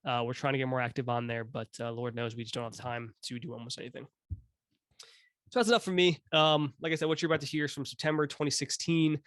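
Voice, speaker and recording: A man's voice: mid-range voice, steady tone, fast, fairly bright tone, dry recording. A man around thirty hosting a podcast, talking casually in a rambling, joking and self-deprecating way, cursing now and then. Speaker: podcast host.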